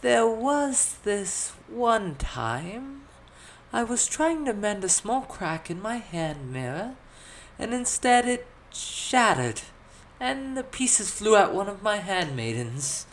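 A narrator voicing a young noblewoman in a fantasy story, pompous but unsure of herself, with a drawn-out delivery. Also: mid-range voice, big pitch swings, slight background noise, voice pushed up. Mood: sheepish.

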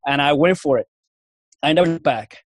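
A man's voice: fairly high pitch, a little fast, dry recording, studio quality. Cuban-accented man telling a rambling story. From Puerto Rico. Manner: rambling, full of restarts and fillers. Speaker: interview guest, Army veteran. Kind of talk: casual interview storytelling.

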